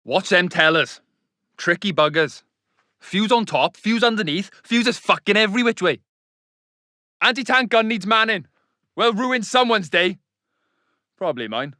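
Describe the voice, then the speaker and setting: A man's voice: high voice, expressive, measured pace, long pauses, sharp tone, no echo, clean studio sound, exaggerated voice. A man playing a wry, grumbling army engineer in a war game, cursing. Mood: exasperated. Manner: wry, cursing, plain-spoken. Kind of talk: video game unit voice lines.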